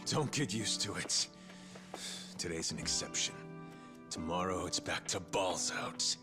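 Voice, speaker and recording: A man's voice: sits low, measured pace. An actor, a man, playing a brash, wild yakuza veteran in a video game cutscene. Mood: cocky. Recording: music behind the voice.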